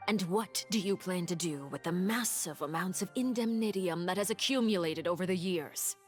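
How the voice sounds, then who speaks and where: Actress as polite female interrogator, cool, formal, silky, veiled mockery, game character over soft music. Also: fairly low pitch, moderate pace, close to an everyday voice. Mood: accusing. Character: coolly polite, menacing noblewoman interrogator. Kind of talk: anime-style game voice acting.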